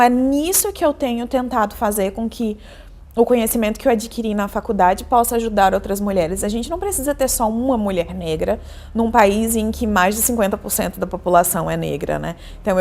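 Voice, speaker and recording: A woman journalist and university lecturer giving a measured, earnest interview testimony, often tagging her phrases with a questioning "né?". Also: mid-range voice, darkish tone.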